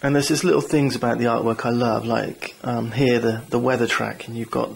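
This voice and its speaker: A man with a gravelly, creaky voice gives a board game setup walkthrough. His pitch stays flat, and his tone is chatty and keen, with self-mocking asides. A faint hiss sits behind him. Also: brisk. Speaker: board game channel host. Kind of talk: tabletop game rules walkthrough.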